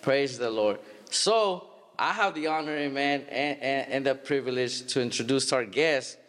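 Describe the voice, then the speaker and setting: A man in his thirties from Stockton, California, hosting a church podcast interview in a halting, casual way with many restarts. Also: mid-range voice, a bit bright. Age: 30s. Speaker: podcast host. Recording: long hall reverb.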